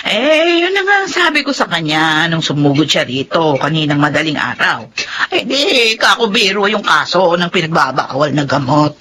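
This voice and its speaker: A woman's voice: sits low, very animated, normal speed, pushed and bright, slight background noise, exaggerated voice. An actress playing an exasperated, outspoken Filipino mother, scolding expressively in a radio drama.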